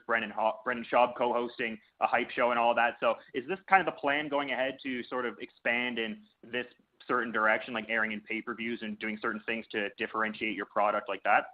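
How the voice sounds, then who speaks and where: A man working as a media reporter puts prepared questions to guests over a conference call. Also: medium pitch. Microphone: telephone. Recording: phone-like call line.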